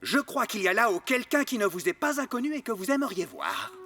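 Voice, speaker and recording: Smug cartoon fox villain, high voice swooping all over, mocking and theatrical. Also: male voice, huge pitch swings, brisk, pushed and bright, long reverb tail, exaggerated voice. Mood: exasperated, bossy. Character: sly, gloating fox villain ruling a village of thieves. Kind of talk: animated film acting.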